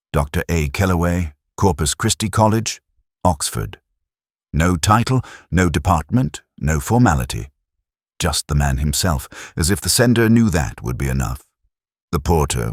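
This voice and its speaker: A man with an extremely deep voice narrates a first-person detective tale. His delivery is measured and literary, with lively rises and falls in pitch. The sound is dry, and the tone of the telling is reflective. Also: no echo.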